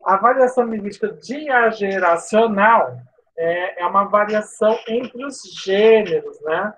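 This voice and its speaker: A Portuguese teacher from São Paulo's coast, with its sibilant S, gives a chatty live online class full of tag questions and restarts. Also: female voice, mid-range voice, unhurried, fairly bright tone, rough recording. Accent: Brazilian Portuguese, coastal São Paulo. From São Paulo state coast, Brazil. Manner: informal, chatty, frequent "né?" tags and restarts.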